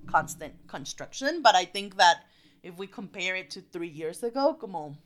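A woman's voice: lowish voice, lively intonation, moderate pace, a bit bright. A woman co-hosting a podcast in casual chat, mixing Spanish into her English with plenty of fillers. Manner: chatty, code-switching, lots of fillers like "like" and "so". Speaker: podcast co-host.

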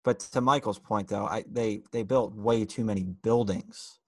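A man's voice: fairly low pitch, steady tone, normal speed, darkish tone. A man co-hosting a casual podcast debate in a blunt, argumentative way. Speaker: podcast co-host.

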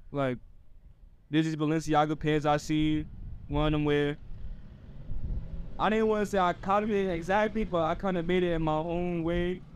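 A young man rambles in an easygoing way in a casual chat. His voice sits fairly high and sounds smooth. The sound is close and dry. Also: a little mellow, no echo. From United States. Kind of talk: casual group conversation.

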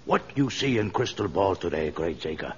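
An actor playing a frightened, gullible jungle tribesman in an old radio adventure, humble and pleading in halting, broken speech. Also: sits low, expressive, breathy edge, heavily acted. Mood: humble, awed. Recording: dull old radio recording.

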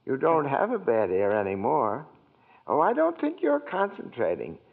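An actor playing a mild, earnest, eccentric old guardian angel in a 1940s radio drama, with a fussy, hesitant manner. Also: male voice, mid-range voice, huge pitch swings, moderate pace, vocal fry, exaggerated voice. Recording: muffled, narrow-band vintage radio recording.

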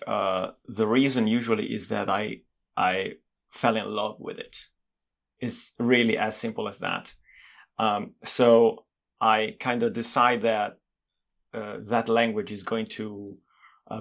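A man with an Italian accent, a native Italian speaker, answering interview questions in a calm, thoughtful way as he searches for words. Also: lowish voice, measured pace, gravelly. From Italy. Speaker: guest, polyglot and language consultant. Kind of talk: casual interview conversation.

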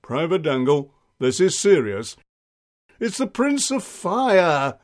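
A man's voice: high-pitched, expressive, measured pace, pitched above the performer's own voice. A man voicing a flustered, bossy sergeant made of ice, barking urgently in a comic children's story. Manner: barking, urgent military delivery. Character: flustered bossy male icicle sergeant, blustering.

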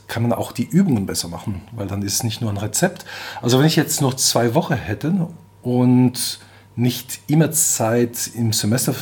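A man's voice: mid-range voice, darkish tone. A middle-aged man from Belgium with a French accent, a professor answering interview questions, explaining step by step with frequent hesitations. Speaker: professor of applied mechanics.

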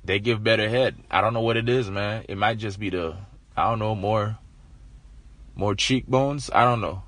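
A man's voice: fairly low pitch, normal speed, a bit bright. A man co-hosting a podcast, talking in a blunt, candid, slangy way. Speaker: podcast co-host. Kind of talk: casual chat.

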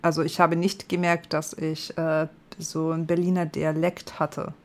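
A man's voice: a little high, completely flat delivery, normal speed. A man from northern Germany with a northern German accent, hosting a podcast in casual chat, hesitant with many fillers and frequent laughs. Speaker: podcast host.

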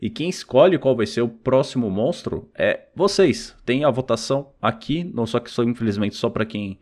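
A man's voice: mid-range voice, lively intonation, moderate pace, darkish tone. A man with a Brazilian accent hosting a podcast in an informal, slangy, conversational way. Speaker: podcast host. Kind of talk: casual chat.

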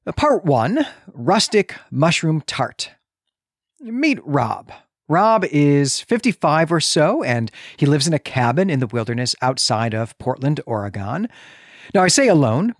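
A man's voice: fairly high pitch, sing-song, measured pace, no echo. Earnest man podcasting, close dry sound. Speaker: podcast co-host. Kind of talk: conversational film discussion and plot synopsis.

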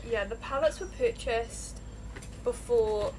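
A young woman with a slight lisp and a voice pitched a little high. She chats quickly and casually, laughing often as she co-hosts a relaxed podcast. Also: background noise. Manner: chatty and quick, with frequent laughs. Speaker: podcast co-host. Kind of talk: casual chat while getting ready.

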